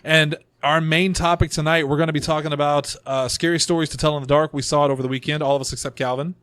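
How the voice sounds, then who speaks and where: A man's voice: fairly high pitch, steady tone, quick pace, fairly bright tone, smooth, dry recording. A man hosting a casual group podcast, rambling and joking while he is losing his voice. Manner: rambling, self-deprecating, joking. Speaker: podcast host. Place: small room around a table.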